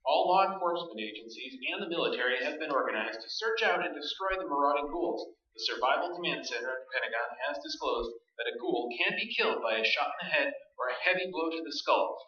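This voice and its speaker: A man playing a grave, official newscaster, reading measured crisis bulletins in a radio play. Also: on the high side, lively intonation, pushed and bright. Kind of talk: formal news bulletin acting in a radio play. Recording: echoey stage, distant and dull.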